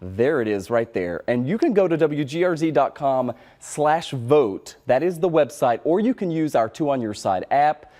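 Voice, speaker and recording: A man's voice: medium pitch, very animated, normal speed, hardly any pauses, dark tone. An American man presenting live as a TV news reporter, explaining things in an informal, conversational way.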